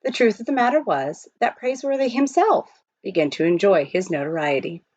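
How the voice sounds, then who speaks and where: Animated woman reading a story aloud, slightly dull. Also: medium pitch, brisk, no room sound. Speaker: reader of a children's novel. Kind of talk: expressive read-aloud storytelling.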